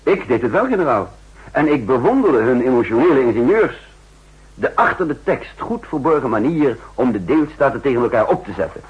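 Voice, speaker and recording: An actor playing a shrewd, insistent counter-espionage chief in a 1960s radio drama, speaking formally and emphatically with a husky voice. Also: male voice, mid-range voice, big pitch swings, brisk. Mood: insistent, persuasive. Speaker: narrator and main character. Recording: muffled old 1960s radio recording.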